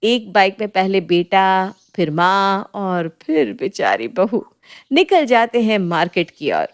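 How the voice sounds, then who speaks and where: A woman storyteller narrating a scripted family story in a lively, colloquial style. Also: medium pitch, slow.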